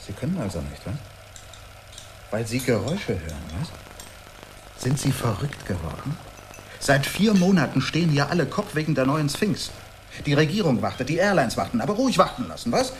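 A man's voice: mid-range voice, very animated, brisk, long pauses, natural voice. A man acting in a German radio play as an impatient, sarcastic boss who badgers in a brusque, blustering way. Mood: exasperated. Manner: brusque, badgering, tacks "was?" onto sentences. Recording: noisy old radio production.

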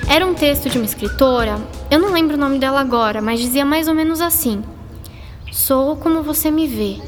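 Woman doing a curious chatty schoolgirl for a radio play, high girlish voice, expressive, big reverb. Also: fairly quick, music in the background, close to an everyday voice. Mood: chatty, puzzled. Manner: informal, inquisitive, expressive. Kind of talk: radio drama acting. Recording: long reverb, clear background noise.

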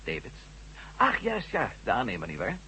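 An actor playing a wry, easygoing newspaper reporter in a radio drama, with a breezy, informal manner. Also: male voice, on the low side, huge pitch swings, moderate pace, sharp tone, slightly rough, exaggerated voice, poor audio.

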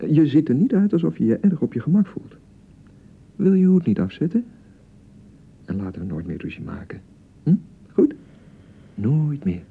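Through a narrow, phone-like radio play sound comes an exasperated young newlywed husband. His voice is creaky and highly inflected as he coaxes and soothes with pet names. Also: sing-song, moderate pace, long pauses, full character voice. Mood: coaxing, awkward. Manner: coaxing, placating, uses pet names. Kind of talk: naturalistic radio drama acting.

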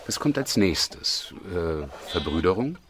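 An actor playing a dry, wary, sardonic police detective in a naturalistic radio crime drama. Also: male voice, deep voice, big pitch swings, slow pace, slight background noise, full character voice. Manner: dry, hesitant, ironic.

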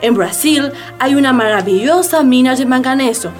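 A woman's voice: sits high, expressive, moderate pace, soft background music. A woman playing a confident, reassuring, scheming underling in a satirical radio play.

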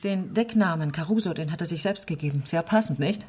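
A woman's voice: on the low side, a little fast, a little mellow, slightly rough. An actress playing a bitter, betrayed middle-aged woman politician in a naturalistic radio drama, brooding with wounded irony.

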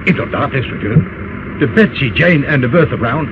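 An actor in a Dutch radio play, playing a dutiful police sergeant who reports in a clipped, deferential way. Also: male voice, medium pitch, animated, moderate pace, natural voice. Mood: dutiful, alert. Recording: dull old radio recording, faint noise.

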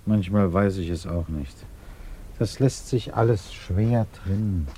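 A man playing a measured, courteous British government investigator in a 1950s German radio drama, formal, deliberate and patiently probing. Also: low-pitched, mellow, a little hiss or hum. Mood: gentle, conversational.

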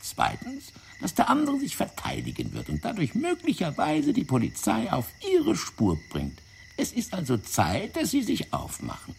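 An actor in a German radio play playing a fat, wily, self-righteous French intelligence officer, explaining things in a theatrical, condescending way. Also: male voice, sits high, huge pitch swings, normal speed, creaky, a little hiss or hum, full character voice. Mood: calculating, insistent. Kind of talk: German radio drama acting.

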